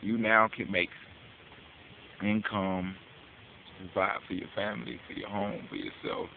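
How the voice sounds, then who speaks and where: A tinny, phone-like recording with faint hiss carries a deep-voiced American man. He delivers an informal public address, pressing his case in street slang, with casual cursing and questions he puts to the listener. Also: little pitch movement, unhurried, gravelly. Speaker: speaker giving a public address. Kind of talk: informal advocacy monologue.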